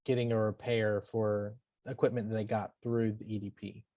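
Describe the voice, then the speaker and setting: A man's voice: on the low side, level tone, normal speed, no echo, muffled recording. A man hosting a webinar, moderating in a conversational way. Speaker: webinar host.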